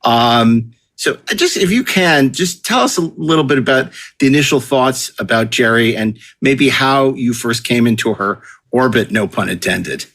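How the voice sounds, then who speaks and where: A man's voice: mid-range voice, expressive, normal speed, a bit bright. A man hosting a podcast in a conversational style, effusive and wordy as he talks. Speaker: podcast host.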